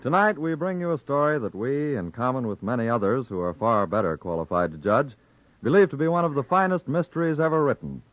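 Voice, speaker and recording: A man hosting a 1940s radio drama, introducing it in a formal, measured, scripted way. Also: mid-range voice, lively intonation. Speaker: radio host introducing the play. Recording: tinny, narrow-band 1940s radio recording.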